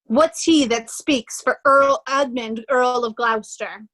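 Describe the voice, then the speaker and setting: A wronged noble knight, played by a woman, with a high and forceful voice. Shakespearean verse comes out slowly and deliberately, as a righteous challenge. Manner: deliberate, declamatory. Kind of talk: Shakespearean reader's theatre.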